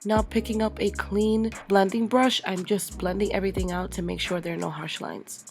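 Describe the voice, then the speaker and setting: Hoarse young woman narrating makeup steps. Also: medium pitch, fairly even intonation, normal speed. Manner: chatty, playful, narrating each step. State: losing her voice from a cold. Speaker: makeup artist. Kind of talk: voice-over makeup tutorial. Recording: voice-over with loud background music. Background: music.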